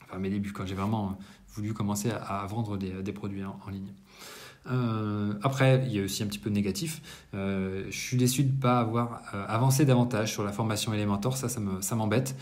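A man in his thirties giving a casual monthly business debrief to camera in a bright room, talking conversationally with frequent hesitations and self-corrections. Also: lowish voice, fairly even intonation, a little fast. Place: indoors, bright apartment room.

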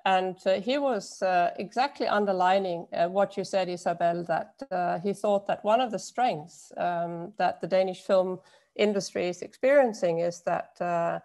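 A woman panelist speaking in an online discussion over a video call, halting, with frequent fillers. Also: lowish voice, a little mellow.

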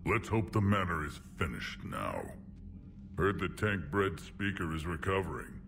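Game role: huge proud alien warlord, blunt and gruff, slow weighty lines with big swings in pitch. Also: male voice, lively intonation, fairly bright tone, slight background noise, exaggerated voice. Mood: stern, gruff. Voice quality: deep gravelly growl. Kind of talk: video game dialogue.

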